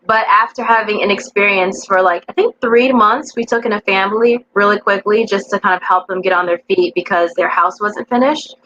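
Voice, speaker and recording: A woman from the American East Coast tells her story as a guest, earnest and animated. Her voice sits at a middle pitch. The sound is a little dull and lo-fi, with a faint hiss behind it. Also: fairly quick, few pauses. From East Coast of the United States. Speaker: guest, cybersecurity educator.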